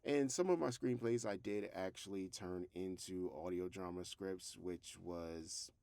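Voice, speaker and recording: A man's voice: deep voice, unhurried, warm tone, clean tone, no room sound. A man talking casually as a vlog host, conversational and rambling, with a self-deprecating way of telling things. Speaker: host, fiction podcast creator. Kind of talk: casual vlog talk to camera.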